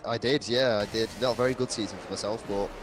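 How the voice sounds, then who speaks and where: Measured male racing co-commentator. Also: lowish voice, steady tone, noisy background. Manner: analytical, measured explanations. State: dry throat, losing voice. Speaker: co-commentator and driver. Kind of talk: sim racing colour commentary.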